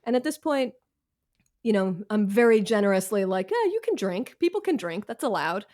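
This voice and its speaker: A woman's voice: mid-range voice, big pitch swings, moderate pace, darkish tone. American woman, mid-range voice, podcast guest. Candid, wry, self-deprecating, casual and slangy. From Washington, D.C., United States. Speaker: author, interview guest. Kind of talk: casual conversational interview.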